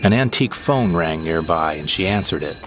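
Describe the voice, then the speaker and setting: A man narrating a first-person audio drama in a wry, unhurried manner. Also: low-pitched, expressive, background noise.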